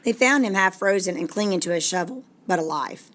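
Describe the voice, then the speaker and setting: A woman narrates a story aloud at a medium pitch. Her delivery is deliberate and expressive, with a dramatic storytelling manner. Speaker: storybook reader.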